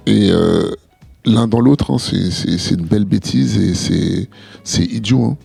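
A man co-hosting a podcast, giving measured advice with frequent hesitations and restarts. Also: fairly low pitch, creaky, warm tone, husky, faint music behind. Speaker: podcast co-host. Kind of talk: casual podcast discussion.

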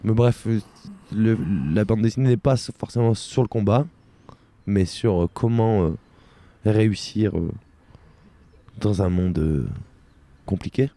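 A young man with a very low, mellow voice co-hosts a relaxed radio chat. He teases his partner, hesitates often and fills pauses with ums. His delivery stays loose and playful. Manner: joking, hesitant with many fillers. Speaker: co-host.

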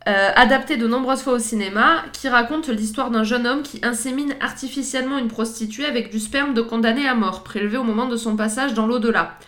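A woman's voice: on the high side, a little fast, hardly any pauses, a bit bright. A woman co-hosting a podcast, chatting casually through pop-culture references with frequent fillers and easy laughs. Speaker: podcast co-host.

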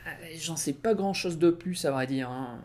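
An actor playing a hesitant, evasive male suspect in an audio drama, defensive and full of pauses. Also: fairly high pitch, a little mellow, close to an everyday voice. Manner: hesitant, defensive, frequent "euh".

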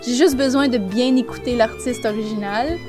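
A woman in her thirties with a Québécois French accent, chatting as an interview guest and pausing often with fillers and restarts. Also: fairly high pitch, music in the background. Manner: hesitant, lots of fillers and restarts. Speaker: guest singer and voice actor. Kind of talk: casual interview chat.

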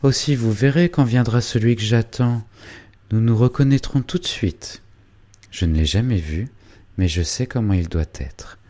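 A man's voice: mid-range voice, normal speed, warm tone, pitched above the performer's own voice, lightly acted. A man reading a storybook voicing a naive young woman who dreams aloud, airy and rapturous. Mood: dreamy.

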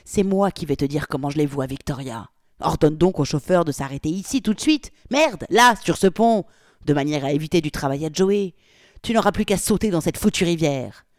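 A woman reading aloud voices a brutal, contemptuous male gangster who curses loudly and barks orders. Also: low voice, expressive, moderate pace, no echo, clean studio sound. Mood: angry, threatening. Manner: loud, cursing, barking orders.

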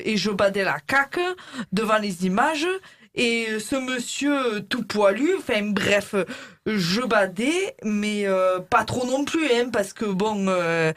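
A woman's voice: medium pitch, lightly acted. A woman playing a chatty, bawdy beautician in a mock interview, rambling with fillers and cheeky jokes. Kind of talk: comedy sketch, mock interview.

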